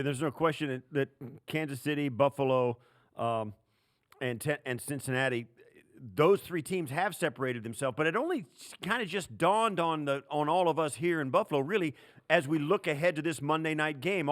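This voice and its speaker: A man's voice: on the high side, brisk, fairly bright tone. Male sports co-host chatting casually. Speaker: podcast co-host, sports analyst.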